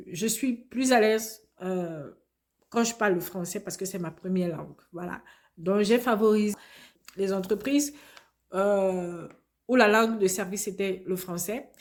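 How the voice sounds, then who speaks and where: A woman from Cameroon tells her own story in an interview setting. She speaks in a middling register, reflective and measured, stopping now and then to search for her next words. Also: mid-range voice, a little mellow. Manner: reflective, frequent hesitations. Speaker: immigrant interviewee. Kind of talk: personal testimony, conversational.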